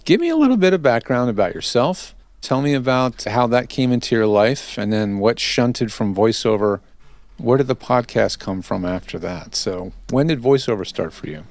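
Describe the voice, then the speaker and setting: A man's voice: medium pitch, lively intonation, normal speed, no room sound. A man hosting an interview in a conversational, encouraging way. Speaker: podcast interview host.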